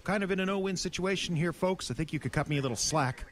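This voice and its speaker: An actor playing a beleaguered, wry small-town police chief in an audio drama, with a folksy, exasperated manner. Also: male voice, high voice, fast, close to an everyday voice. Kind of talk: audio horror drama acting.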